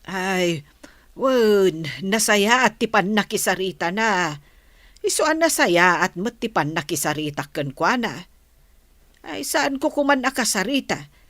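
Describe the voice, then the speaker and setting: A woman's voice: medium pitch, lively intonation, takes it slowly, a bit bright, no echo. A Filipino woman playing a chatty, inquisitive older village mother in a radio drama, full of interjections.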